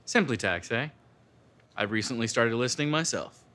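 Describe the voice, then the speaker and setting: A man's voice: medium pitch, lively intonation, moderate pace, sharp tone. A man playing a hard-boiled private detective who talks in clipped noir patter. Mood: probing. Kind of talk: parody noir audio drama.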